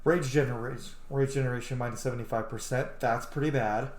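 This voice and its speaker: A man's voice: medium pitch, steady tone, brisk. A man giving casual, blunt, sweary commentary as he plays a video game. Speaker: gamer, video host. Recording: roomy sound with game music behind. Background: video game music.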